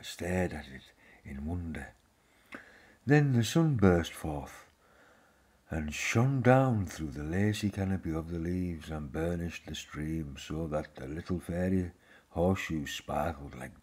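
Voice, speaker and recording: A man with a husky voice, reading a children's fairy tale aloud as a storyteller. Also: low voice, dark tone.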